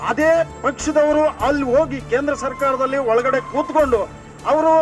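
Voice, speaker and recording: Very high-pitched man over music. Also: steady tone, normal speed. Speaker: member of the public. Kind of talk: impromptu political opinion at a microphone.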